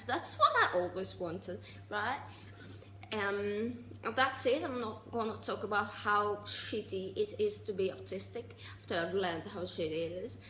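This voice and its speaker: A woman around twenty talking casually in a personal vlog, halting and restarting, with sarcastic asides. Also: medium pitch, fairly bright tone, reverberant, slight background noise, low-quality recording. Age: 20s. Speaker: vlogger.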